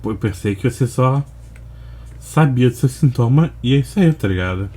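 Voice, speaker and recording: A man's voice: medium pitch, animated, normal speed, warm tone. A Brazilian man giving casual, off-the-cuff commentary as a reaction host, informal and slangy.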